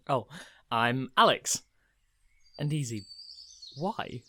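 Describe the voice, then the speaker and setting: An actor playing a cheeky, persistent, unfazed young character in a radio play, with a playful, teasing, halting delivery. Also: mid-range voice, expressive, pushed and bright, full character voice. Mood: playful, breezy.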